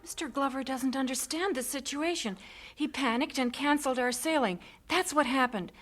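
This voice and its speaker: Pushy anxious missionary wife, radio drama, high husky voice, really insistent. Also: female voice, moderate pace, a bit bright, faint music behind. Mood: agitated, urgent. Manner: urgent, emphatic. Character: impatient, headstrong missionary wife and mother, urgent and insistent.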